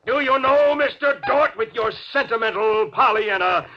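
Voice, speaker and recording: An actor playing a suspicious, domineering spaceship captain in a 1950s radio drama, sardonic and commanding. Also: male voice, extremely high pitch, normal speed. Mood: angry, suspicious. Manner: sardonic, domineering, clipped orders. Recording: noisy, dull 1950s radio recording.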